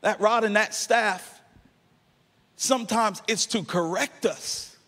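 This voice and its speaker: A man's voice: high voice, takes it slowly, fairly bright tone. A man in his thirties preaching a sermon from a church stage into a handheld mic, rising into half-sung, impassioned peaks. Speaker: pastor. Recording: long hall reverb.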